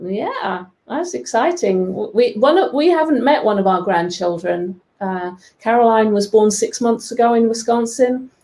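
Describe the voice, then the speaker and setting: A woman's voice: a little high, animated, normal speed, hardly any pauses, a little mellow. An older woman with an English accent, chatting playfully as a guest over a video call from her sewing room. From England. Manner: playful, self-mocking. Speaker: guest quilting teacher. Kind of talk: casual chat.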